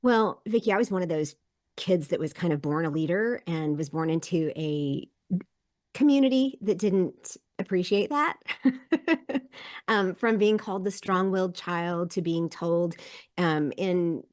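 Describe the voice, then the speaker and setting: A woman's voice: fairly low pitch, measured pace, darkish tone. A woman in her fifties, a leadership coach and podcast guest, who talks at length with energy and laughs between phrases. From South Korea, grew up abroad. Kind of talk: conversational interview.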